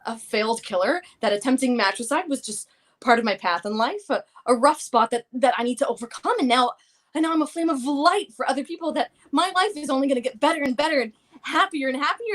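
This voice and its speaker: A woman's voice: high voice, animated, quick pace, fairly bright tone. A woman playing an edgy, anxious daughter in a live play reading, speaking in a hesitant, earnest ramble. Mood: awed, hopeful. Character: anxious, edgy daughter confessing violent urges.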